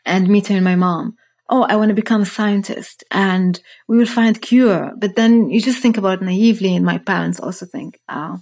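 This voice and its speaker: A woman's voice: mid-range voice, a little mellow. A woman in her thirties from Morocco, telling her story as a podcast guest in an earnest, reflective way. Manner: earnest, reflective, frequent restarts. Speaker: guest, startup founder.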